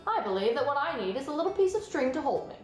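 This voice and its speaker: A woman's voice: high voice, fast, pitched above the performer's own voice. A woman voicing a naive, eager, boastful young pig in a children's storybook read-aloud. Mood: excited, cheerful. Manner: earnest and excitable. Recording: roomy sound, music behind.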